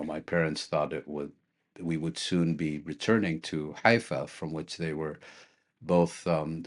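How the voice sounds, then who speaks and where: A man from the Netherlands, a guest analyst answering interview questions in a measured, hesitant way with many restarts. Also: low voice.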